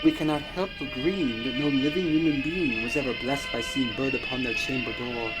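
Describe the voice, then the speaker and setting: A young man giving a theatrical, brooding recitation of gothic horror poetry, moving between hushed and loud delivery. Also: mid-range voice, moderate pace, over loud music, poor audio. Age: young adult. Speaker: performer reciting a poem. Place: furnished basement living room.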